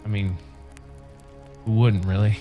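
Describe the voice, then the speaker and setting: A man's voice: lowish voice, little pitch movement, unhurried, dull audio, natural voice. A man playing a young, regretful, down-on-his-luck survivor in a drama table read. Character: young, broke, regretful former pizza delivery boy. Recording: video-call read over music.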